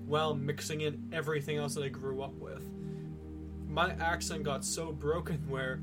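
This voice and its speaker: A man from Massachusetts chatting casually as a podcast host, swearing freely. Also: fairly high pitch. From Massachusetts, United States. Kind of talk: casual chat. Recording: music over the talk.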